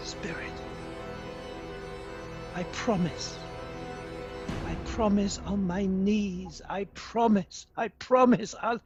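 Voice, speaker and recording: A man's voice: high voice, heavily acted. A man playing an elderly miser in a radio play, theatrical and stammering. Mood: desperate, begging. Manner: theatrical, stammering, repeating himself in pleas. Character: elderly miser, terrified and pleading, desperate to repent. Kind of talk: 1930s-style radio drama acting. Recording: muffled recording with long echoing reverb.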